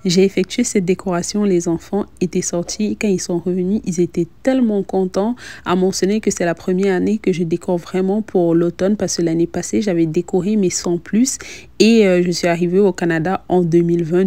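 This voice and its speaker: A woman's voice: fairly low pitch, mellow, no room sound. A young woman narrating a casual home decoration vlog, chatting directly to her viewers. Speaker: lifestyle vlogger and host.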